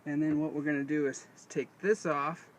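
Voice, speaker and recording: A man's voice: fairly high pitch, level tone, a little fast. An American man giving a casual how-to demonstration as host of a DIY video, in a folksy, rambling way, often correcting himself. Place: outdoors beside a vehicle. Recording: noisy on-location camera audio.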